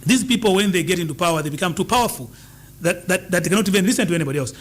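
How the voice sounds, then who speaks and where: A man with a notably high-pitched voice speaks as a political guest. He talks in an emphatic, campaigning way, hammering home his points and repeating them for stress. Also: lively intonation, very fast, a bit bright. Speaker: political party leader as studio guest. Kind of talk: political interview answers.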